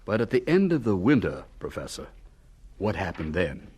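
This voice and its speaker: A man acting as a solemn, gentle American announcer and interviewer in a radio drama. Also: deep voice, wildly swooping pitch, moderate pace, slightly rough, heavily acted. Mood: gentle probing.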